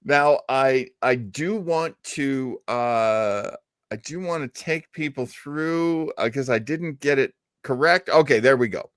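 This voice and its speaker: A man hosts a casual live show with a medium-pitched voice. He is halting and self-deprecating. The sound is very dry. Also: a bit bright, smooth, no echo. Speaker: radio stream host.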